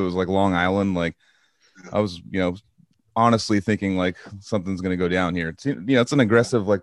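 A man's voice: fairly low pitch, dry recording, studio quality. A man, a touring musician, telling stories casually in a laid-back way, full of "like". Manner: laid-back, heavy use of filler "like". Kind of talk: casual storytelling.

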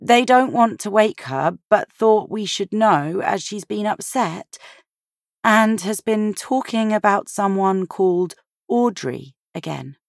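Narrator as a kind, higher-voiced woman. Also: animated, slow pace, vocal fry, dry recording, studio quality. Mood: reassuring. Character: kind, calm, expressive woman, a caring granddaughter.

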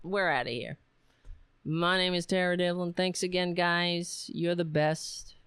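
A woman's voice: fairly low pitch, fairly even intonation, a little slow, a bit bright, clean tone, dry recording. A woman hosting her own podcast, tired and losing her voice, rambling casually through her sign-off. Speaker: podcast host. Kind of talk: casual solo sign-off chat.

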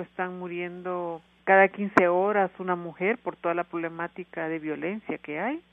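A woman with a Honduran accent, speaking earnestly as an interview guest over the phone, with frequent hesitations. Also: fairly low pitch. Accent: Honduran Spanish. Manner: earnest, steady, many hesitations. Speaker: NGO worker, interview guest. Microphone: telephone. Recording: tinny dry phone line.

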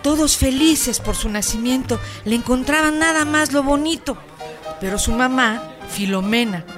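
An actress playing a folksy village midwife with a Mexican accent, telling a story colloquially in a radio soap opera. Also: female voice, high voice, normal speed, over music, close to an everyday voice. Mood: storytelling, earnest. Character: Doña Luz, folksy village midwife recounting an emergency.

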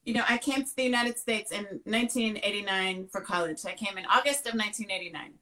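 Bright-voiced energetic woman educator. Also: mid-range voice, fast, rough recording. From raised in the Netherlands. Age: middle-aged. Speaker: educator and guest. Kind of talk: conversational interview answers.

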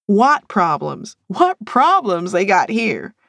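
A woman voicing a brash, playful American woman in an audiobook, loud and argumentative, with an American accent. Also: medium pitch, sing-song, takes it slowly, gravelly, no room sound, studio quality, voice pushed up, full character voice. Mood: protesting. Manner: loud, protesting, teasing.

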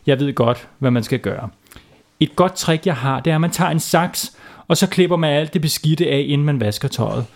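A man's voice: fairly high pitch, creaky. A man voicing an overconfident doctor who hands out absurd tips with hesitant fillers. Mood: self-assured. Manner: hesitant then self-assured, fillers. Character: bumbling overconfident male doctor.

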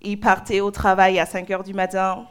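A young woman from Nigeria, preaching and giving a personal testimony in short phrases with pauses for an interpreter. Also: medium pitch, monotone, clean tone. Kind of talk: sermon in French.

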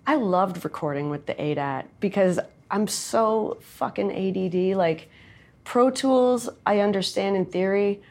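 A woman with a nasal, loud voice talking candidly and chattily in a casual interview, with quick asides. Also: medium pitch. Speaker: songwriter and recording artist guest.

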